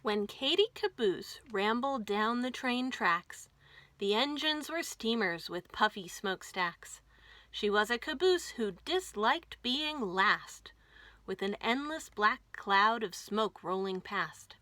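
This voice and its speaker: A woman hosting a children's storytime, reading aloud with an expressive, deliberate delivery for young listeners. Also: fairly high pitch, no echo. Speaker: children's librarian and storytime host.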